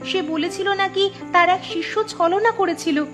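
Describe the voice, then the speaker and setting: Woman playing a very shrill-pitched young village woman. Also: a little slow. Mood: earnest, indignant. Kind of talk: cartoon story dubbing. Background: background music.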